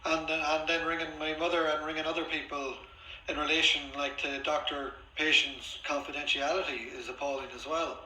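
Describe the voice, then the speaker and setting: Man, insistent complainant on a call; very bright, forceful voice, echoey room. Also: fairly high pitch, flat delivery, moderate pace. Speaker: patient on a phone call. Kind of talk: phone conversation, arguing his case. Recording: echoey, faintly noisy room, recorded phone call.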